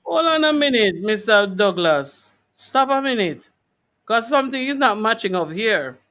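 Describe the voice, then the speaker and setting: A woman with a Jamaican accent hosting a radio talk, colloquial and animated, putting questions to her guest in a conversational way. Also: a little high, moderate pace. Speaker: radio host interviewing a guest.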